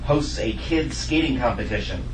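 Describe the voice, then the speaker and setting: A man's voice: mid-range voice, expressive, normal speed. A man reading stage directions as scripted narration at a comedy table read. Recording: distant, echoey room with noise.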